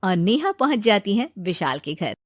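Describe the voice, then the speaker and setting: A woman's voice: mid-range voice, sing-song, moderate pace, dry recording, clean studio sound. A woman narrating a scripted moral story as a storyteller.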